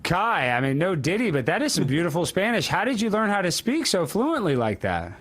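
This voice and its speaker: A man's voice: high-pitched, very animated, brisk, a bit bright. A man hosting a talk show with brash, joking, opinionated banter in a casual style.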